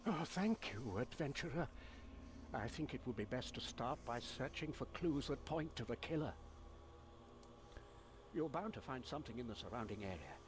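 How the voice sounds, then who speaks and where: A man's voice: mid-range voice, lively intonation, moderate pace, background noise, lightly acted. A man voicing a distraught, devout old monk in a video game, faltering and pleading with emphasis. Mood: pleading, shaken. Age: older. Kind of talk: scripted video game character acting.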